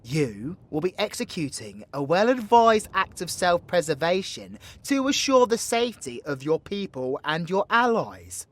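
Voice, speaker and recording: A cocky young British warlock, acted by a man for an audio drama, pitched a little high for a man and very animated. He is slangy, irreverent and foul-mouthed, always ready with a joke. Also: unhurried, hardly any pauses, no room sound, heavily acted. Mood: cheeky, cocky.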